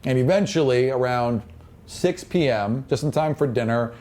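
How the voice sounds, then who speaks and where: A man with a relaxed, mellow voice at a middle pitch acts as game master. He describes fantasy scenes in a conversational, improvised way, circling back over phrases as he explains. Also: moderate pace.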